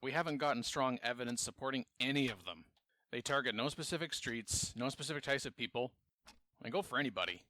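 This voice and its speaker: An actor playing a guarded, businesslike police captain in a fan audio drama, speaking in a matter-of-fact official way. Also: male voice, medium pitch, animated, fast talker, pushed and bright, no echo.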